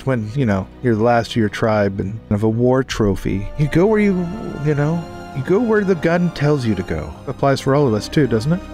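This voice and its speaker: A man's voice: mid-range voice, lively intonation, moderate pace, few pauses, darkish tone, faint music behind. A man playing a tabletop role-playing game, talking casually and in character.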